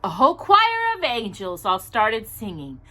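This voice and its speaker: A woman hosting a children's storytime, reading aloud expressively in a deliberate storybook manner with casual asides. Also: fairly high pitch. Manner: animated, deliberate storybook delivery.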